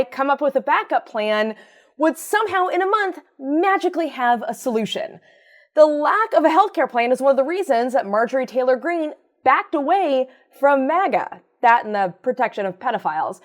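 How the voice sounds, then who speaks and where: A fairly high-pitched female voice presents the news as commentary. She hosts in a conversational, informal register, with a sardonic edge and wry asides. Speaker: host of a news commentary show.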